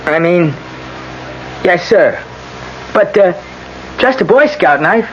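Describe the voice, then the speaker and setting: A man's voice: sits high, animated, slow. An actor playing a nervous, defensive teenage boy from a street gang, hesitant and evasive, in a radio drama. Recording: muffled, noisy old radio broadcast.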